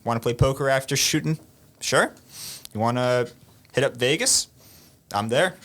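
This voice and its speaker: A young adult casually pitching themself in an improvised podcast game, relaxed and hesitant. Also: moderate pace. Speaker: podcast guest.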